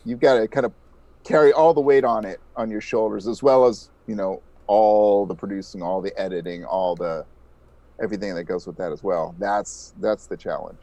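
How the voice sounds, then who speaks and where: A man's voice: lowish voice, fairly even intonation, warm tone. A man giving earnest, thoughtful interview answers as a podcast guest, often restarting his sentences. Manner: earnest, thoughtful, halting with repeated starts. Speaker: podcast guest, audio drama creator. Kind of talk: casual reflective interview answers.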